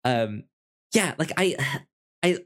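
A man co-hosting a podcast in casual chat, halting and often repeating words as he works out his thoughts. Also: on the high side, expressive, fairly bright tone. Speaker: podcast co-host discussing a TV series.

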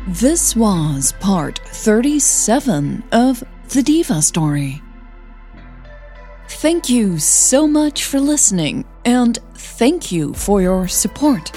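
A woman narrating a scripted story as podcast host, with a soft, breathy voice. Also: mid-range voice.

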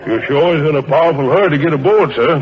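An actor playing a deferential, helpful station porter with hesitant, folksy dialect speech in a 1940s radio drama. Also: male voice, on the high side, quick pace, close to an everyday voice. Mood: deferential, concerned. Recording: muffled 1940s radio with crowd behind.

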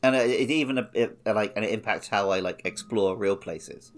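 Male gaming podcast co-host with a fairly low voice, conversational and informal. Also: normal speed. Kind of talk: casual video game playalong chat.

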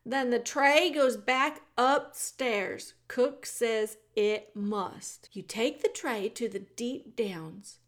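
A woman voicing a simple, rough-spoken young serving woman with slow, blunt rustic speech. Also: fairly high pitch, a bit bright, pitched above the performer's own voice. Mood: plain.

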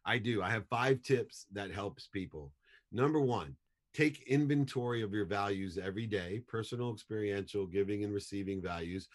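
A man's voice: lowish voice, normal speed. A man in his fifties, a guest speaker, telling emphatic motivational stories and repeating key phrases for punch. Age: 50s. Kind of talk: energetic motivational storytelling.

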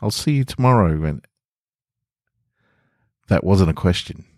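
A man's voice: low voice, animated, unhurried, a little mellow, dry recording, clean studio sound. A man hosting a podcast, talking casually and reading short stories aloud as narrator. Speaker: podcast host and author reading own stories.

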